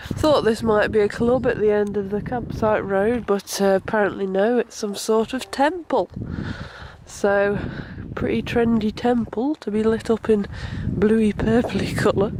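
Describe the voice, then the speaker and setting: Unhurried young woman vlogging over background noise. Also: mid-range voice, darkish tone, poor audio. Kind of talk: casual holiday vlog chat. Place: on the move, outdoors and in a car while on holiday.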